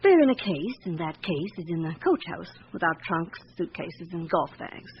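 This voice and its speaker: An actress in a radio drama playing an anxious, guarded upper-class wife with a formal, earnest manner. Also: female voice, lowish voice, sing-song, normal speed. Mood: composed, explaining. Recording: dull radio recording with background hiss.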